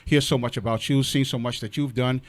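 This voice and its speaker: A man's voice: mid-range voice, steady tone, brisk, dry recording. A man hosting a radio show in casual interview chat, effusive and given to repeating his thanks. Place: indoor radio studio.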